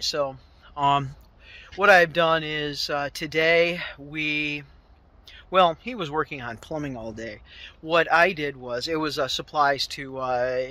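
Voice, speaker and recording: A man's voice: fairly high pitch, bright, forceful tone, no echo. A man in his fifties, a part-time contractor, rambling and chuckling at himself as he films a casual walk-through of a tool trailer at night, holding a phone camera at arm's length inside the small trailer. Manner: rambling, chuckling, self-deprecating. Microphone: phone camera held at arm's length.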